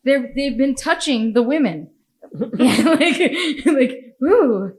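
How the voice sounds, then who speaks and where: A woman's voice: sits high, animated, slow pace. An American woman hosts a casual podcast chat. She talks bluntly, swears freely and breaks into laughter often. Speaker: podcast host.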